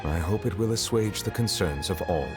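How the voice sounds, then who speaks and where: A man voicing a grave, formal judge. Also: natural voice. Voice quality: deep, measured and formal. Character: stately aloof male judge, grave and formal. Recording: game audio with background music.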